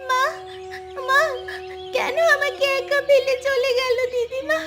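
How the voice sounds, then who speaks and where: A woman's voice: extremely high pitch, level tone, slow. A woman voicing an innocent, devoted poor little village girl in a melodramatic cartoon, with a childlike, earnest, pleading way of talking. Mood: grieving. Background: loud music.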